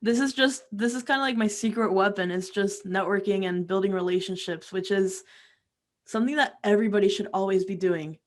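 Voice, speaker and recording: Young woman, chatty interview guest telling her story casually, average pitch. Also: a little fast. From Peru and Florida. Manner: chatty, informal, frequent "like". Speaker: interview guest, music career coach.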